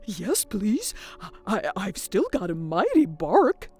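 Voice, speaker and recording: A woman voicing an old, tired but eager male dog in a bedtime storybook, speaking loudly. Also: mid-range voice, wildly swooping pitch, slow pace, soft background music.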